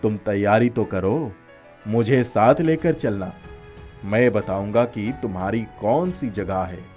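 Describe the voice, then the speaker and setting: Low, unhurried magical talking snack voiced by a man. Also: darkish tone. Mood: urging, helpful. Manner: commanding, instructive. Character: talking magical pakora, a helpful male guiding spirit. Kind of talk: children's animated storytelling. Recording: music playing behind the voice.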